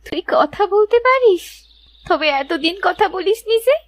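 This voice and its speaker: A woman's voice: very high-pitched, lively intonation, unhurried, no echo. A woman voicing a sad, meek orphan village girl in a cartoon, plaintive and pleading. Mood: wondering, grateful. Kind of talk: melodramatic cartoon voice acting.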